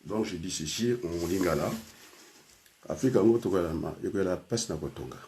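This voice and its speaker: A man's voice: deep voice, animated, a little fast, darkish tone. An older man from Congo, a teacher and trainer, speaking in a rambling personal talk full of hesitations and switches into Lingala.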